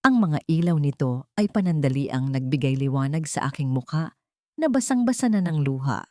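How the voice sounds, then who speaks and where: A woman's voice: low-pitched, animated, normal speed, mellow, dry recording. A woman reading a scripted, first-person dramatic story as its narrator.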